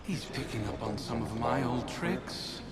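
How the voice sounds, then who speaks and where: A man's voice: medium pitch, animated, unhurried, loud music behind the voice, lightly acted. An actor playing a sly, cynical male phantom in a video game, needling his listener in a mocking, goading way.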